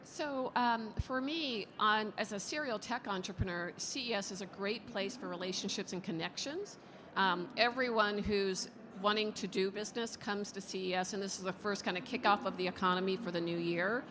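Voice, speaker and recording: A woman's voice: mid-range voice, normal speed, noisy background. A woman speaking as a founder and investor on a conference panel, polished and upbeat. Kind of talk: panel discussion. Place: conference stage in a large hall. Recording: long hall reverb, faint ambience.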